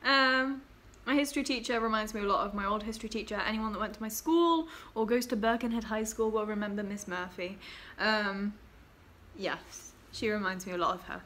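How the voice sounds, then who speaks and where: Young english woman rambling to her laptop cam, giggly, lots of ums, mid pitch. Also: brisk, a bit bright. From England. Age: young adult. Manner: chatty and giggly, trailing off into fillers. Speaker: vlogger. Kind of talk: casual chat to camera. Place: small bedroom, indoors. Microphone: laptop webcam at desk.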